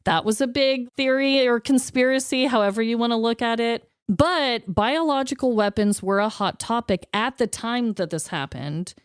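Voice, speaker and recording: A woman's voice: a little high, moderate pace, hardly any pauses, clean tone, dry recording, clean studio sound. A woman co-hosting a podcast, telling stories in a casual, conversational way with an informal, candid manner. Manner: informal, candid, occasionally swearing. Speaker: podcast co-host.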